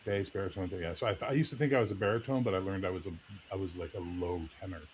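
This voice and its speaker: A man with a speaking baritone hosting a casual panel chat, talking loosely and swearing freely. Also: very fast, a little mellow, a little hiss or hum. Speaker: host.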